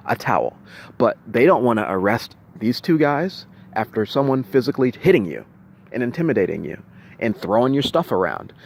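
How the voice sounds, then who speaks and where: A man venting in an unscripted video monologue, halting and repeating himself, with insistent emphasis. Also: on the low side, slight background noise. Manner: halting, repetitive, with restarted phrases. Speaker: vlogger recounting personal experiences.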